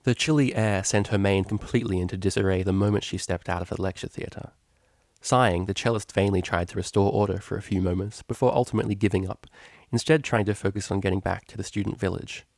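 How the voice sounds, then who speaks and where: A man narrates a story in a low voice with plenty of gravelly fry. He reads scripted prose, and the recording is very dry, with no room sound. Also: no echo. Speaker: narrator, fan fiction reader.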